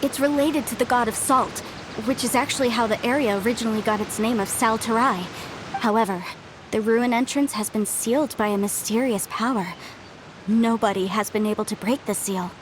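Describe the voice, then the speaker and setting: A woman doing an earnest, reproachful female historian, high voice, game voice acting. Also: moderate pace, background noise, lightly acted. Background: game music.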